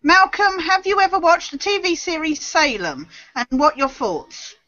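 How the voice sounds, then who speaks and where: A woman's voice: extremely high pitch, expressive, moderate pace, bright, forceful tone. A woman in her thirties chatting casually and teasingly as a panellist on an online show. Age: 30s. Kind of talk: casual chat. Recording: dull, noisy online call with clicks.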